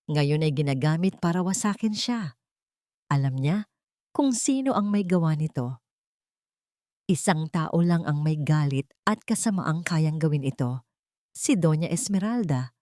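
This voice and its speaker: Filipino woman narrator, lowish voice, dry recording. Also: darkish tone, dry recording. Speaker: story narrator. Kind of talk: scripted dramatic narration of a romance drama.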